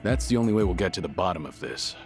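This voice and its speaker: A weary, stoic older legend in a game drama, with a deep voice. He speaks in terse, matter-of-fact phrases, with music behind him. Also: animated, fast talker. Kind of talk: English-dubbed video game cutscene acting.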